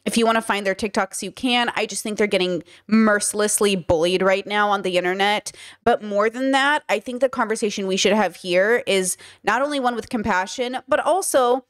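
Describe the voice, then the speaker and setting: Young woman talking into a boom mic, chatty and thinky, super dry sound. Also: moderate pace, few pauses, a bit bright, no room sound. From Southern California. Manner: chatty, thinks aloud, gestures a lot. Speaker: commentary YouTuber. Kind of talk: casual reaction commentary. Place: small bedroom studio. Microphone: studio mic on boom arm.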